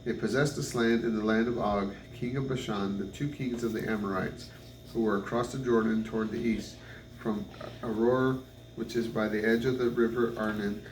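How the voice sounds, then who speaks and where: A man reading the Bible aloud in measured phrases, occasionally stumbling over words. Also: fairly low pitch, steady tone. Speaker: scripture reader. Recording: roomy, distant mic, noisy background.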